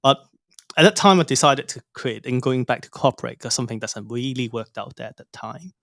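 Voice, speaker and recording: A man in his thirties from Hong Kong, a startup cofounder, answering interview questions haltingly, with many fillers. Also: medium pitch, lively intonation, a bit bright, no room sound, clean studio sound. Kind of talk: casual interview talk.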